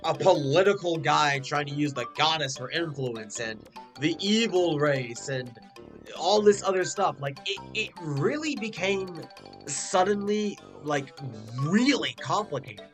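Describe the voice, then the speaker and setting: Stuffy-nosed guy rambling thru a review, kinda high bright voice, lots of "like" and "uh". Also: animated, measured pace, soft background music. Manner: chatty, with frequent "like" and "uh" fillers. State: stuffy, congested nose. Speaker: video reviewer. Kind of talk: casual spoken review.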